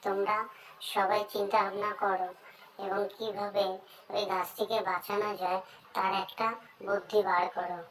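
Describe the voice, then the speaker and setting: A woman's voice: medium pitch, flat delivery, moderate pace, long pauses, a bit bright, natural voice, poor audio. A woman voicing an earnest, pleading bird looking for a nest in a cartoon story.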